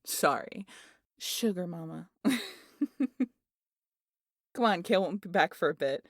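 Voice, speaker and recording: A woman acting a rowdy, muscular aunt in an audio roleplay. The voice is on the low side and closely recorded with a dry sound, swaggering and teasing as it spins tales. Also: unhurried, dry recording. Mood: gleeful, boastful. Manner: swaggering, foul-mouthed, animated storyteller. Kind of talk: ASMR roleplay audio drama.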